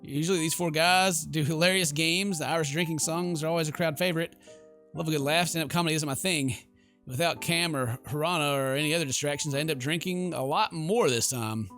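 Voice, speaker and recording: A man's voice: a little high, brisk, a bit bright, faint music behind. Goofy man doing slangy game commentary. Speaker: gaming YouTuber. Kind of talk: let's play reading and joking commentary.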